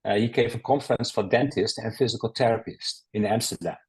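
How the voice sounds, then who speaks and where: Middle-aged Dutch-accented male guest, husky timbre, hesitant with many fillers. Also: fairly low pitch, brisk, poor audio. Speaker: guest physical therapist. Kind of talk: podcast interview.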